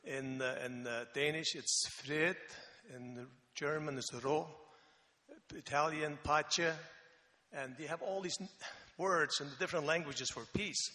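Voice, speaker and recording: A man in his sixties from the Faroe Islands, speaking, relaxed and joking, with many pauses and fillers as he is interviewed at church. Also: on the high side. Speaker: missionary Bible translation consultant. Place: church sanctuary. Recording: reverberant church hall.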